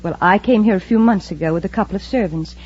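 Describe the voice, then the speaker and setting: Actress as husky, haughty villainess. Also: female voice, mid-range voice, quick pace, a little mellow, lightly acted. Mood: smoothly deceptive. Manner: haughty, commanding, smooth when lying. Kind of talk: 1950s radio serial acting. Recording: muffled old radio recording.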